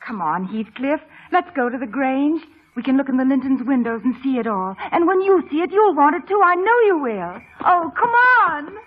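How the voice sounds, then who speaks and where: An actress playing a proud, wilful, dreamy young gentlewoman in an old radio drama, with a yearning, theatrical manner. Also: high-pitched, big pitch swings, moderate pace, hardly any pauses. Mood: eager. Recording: muffled old radio broadcast, faint music.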